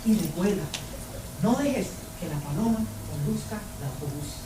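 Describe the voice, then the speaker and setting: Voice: medium pitch, lively intonation, a little fast, lightly acted. A student doing a cheerful bus driver. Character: friendly adult bus driver. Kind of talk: storybook read-aloud acting. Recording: echoey room, distant mic, background noise.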